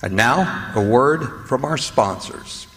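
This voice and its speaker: Animated male radio announcer in a hall. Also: medium pitch, slow pace. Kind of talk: old-time radio announcing, scripted credits and sponsor read. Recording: hall with long reverb.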